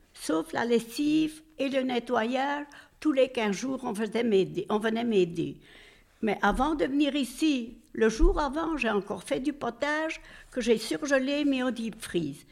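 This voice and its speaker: An older woman from Belgium talks on and on in a rambling, chatty way, full of little fillers. Her voice sits a bit high and has a creaky edge. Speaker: nursing home resident, interviewee. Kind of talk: casual interview answers.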